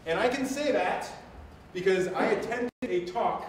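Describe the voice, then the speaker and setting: A man's voice: high voice, expressive, a little slow. A man hosting a live stream, giving an informal, enthusiastic lecture with emphatic asides. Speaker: live stream host and presenter. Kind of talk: informal lecture on anime history to an audience. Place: reverberant indoor room. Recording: very echoey room, distant mic, faint noise.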